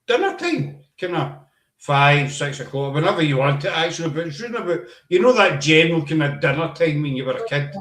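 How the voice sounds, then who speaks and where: A man's voice: fairly high pitch, big pitch swings, brisk, a bit bright. Chatty Glaswegian man in an echoey room. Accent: Glaswegian Scottish. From Scotland. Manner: chatty, rambling anecdotes with many fillers. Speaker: podcast host. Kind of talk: casual chat, storytelling.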